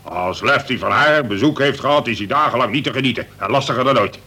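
Grumpy middle-aged colonel running a jail, radio drama, blustery n sarcastic, hard bright voice, bit raspy. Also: male voice, mid-range voice, fast talker. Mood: irritable. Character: flustered, irritable middle-aged male prison governor and colonel. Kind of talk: Dutch radio drama acting.

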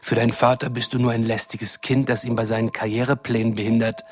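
An actor playing a cruel, condescending shapeshifter villain in a radio drama, taunting and threatening in a smooth-talking way. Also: male voice, mid-range voice, level tone, quick pace, lightly acted. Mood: cruel, coaxing. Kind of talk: German radio drama acting.

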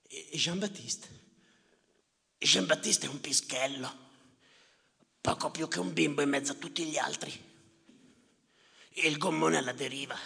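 An actor playing a bitter, sardonic storyteller of sea tragedies in a theatrical monologue, declamatory and repetitive, with a gravelly voice. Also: male voice, a little high, exaggerated voice. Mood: grim, brooding. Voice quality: gravelly, creaky. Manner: declamatory, repetitive, swinging from muttering to shouting. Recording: reverberant theatre with live music behind. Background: live music.

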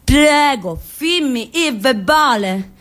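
A man's voice: very high-pitched, animated, slow, fairly bright tone, voice pushed up, heavily acted. Officious, scornful male cop for a stage monologue, bureaucratic and curt played by a woman. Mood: bureaucratic drone. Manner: officious, reeling off figures.

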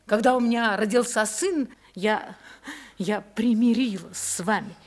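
High-voiced, proud, wronged wife. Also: female voice, a little slow. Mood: cold, bitter. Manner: haughty, dramatic, intense. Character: proud, wronged wealthy wife, defiant and emotional. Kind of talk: radio play acting.

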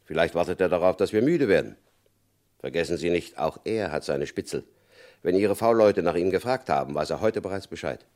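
A shrewd, deep-voiced FBI agent. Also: male voice, brisk. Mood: determined. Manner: calm, probing, knowing. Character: shrewd, stern male FBI agent Purvis. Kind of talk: radio crime drama acting.

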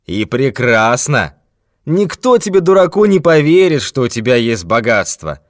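A man voicing a brutal, mocking red-haired robber who shouts threats in a raised, forceful voice. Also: mid-range voice, big pitch swings, moderate pace, no echo, clean studio sound, close to an everyday voice. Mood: threatening.

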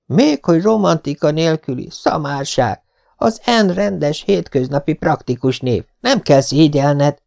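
A man reading an audiobook voices a stern, brisk middle-aged spinster with a sharp, scolding manner. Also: on the high side, animated, creaky.

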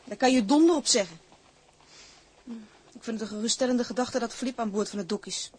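An actress playing a shrewd, caring captain's wife in a radio drama, speaking Dutch as in the Netherlands. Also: female voice, on the high side, brisk, husky. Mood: firm, concerned. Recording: noisy radio drama recording.

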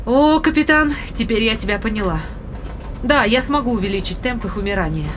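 A woman's voice: on the high side, expressive, a little fast, fairly bright tone, slight background noise. An actress in an audio drama playing a composed female spaceship scientist who explains things articulately. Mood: composed, clinical.

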